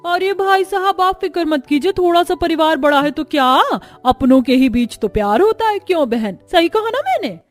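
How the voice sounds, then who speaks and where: A woman voicing a pushy, chatty middle-aged mother in an animated story. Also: very high voice, normal speed, hardly any pauses, voice pushed up. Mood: cajoling. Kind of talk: animated story character dialogue.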